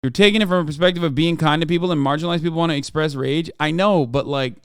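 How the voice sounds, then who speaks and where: A man's voice: fairly high pitch, quick pace, smooth, no echo, studio quality. Emphatic, profane male streamer. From Turkey. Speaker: streamer reacting to videos. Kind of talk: heated reaction commentary.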